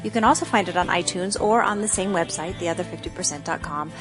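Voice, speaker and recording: Female podcast host, scripted intro delivery with clear music behind her. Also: fairly low pitch, quick pace.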